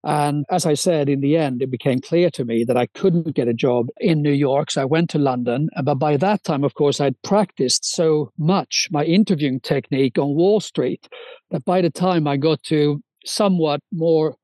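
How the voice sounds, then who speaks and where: A man in his sixties who grew up in Sweden, recalling his career as an interview guest. His voice sits fairly high for a man and the sound is close and dry. He talks fluently and eagerly, with a few small restarts. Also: normal speed, mellow, no room sound. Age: 60s. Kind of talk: interview storytelling.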